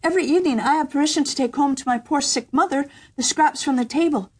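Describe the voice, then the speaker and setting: A woman's voice: high voice, quick pace, pitched above the performer's own voice, natural voice. A woman voicing a small, humble kitchen girl in a storybook reading, speaking eagerly and sweetly. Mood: earnest.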